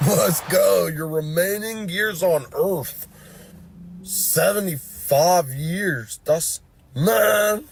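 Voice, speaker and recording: A man's voice: high-pitched, extremely slow, creaky, slight background noise. A young man chatting casually in a slangy, bemused way. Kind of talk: casual off-the-cuff chat.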